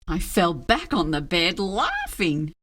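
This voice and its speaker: A woman reading a children's storybook aloud in an expressive narrating style. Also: fairly low pitch, slow. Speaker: storybook reader.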